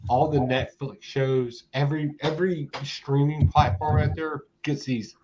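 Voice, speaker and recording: A man in his forties hosting a casual chat, hyped and egging others on. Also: mid-range voice. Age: 40s. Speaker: host. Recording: dull lo-fi sound with faint noise.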